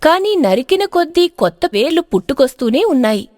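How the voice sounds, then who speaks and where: High, animated woman storyteller. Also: a little fast, no room sound, clean studio sound. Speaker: narrator. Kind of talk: dramatic scripted storybook narration.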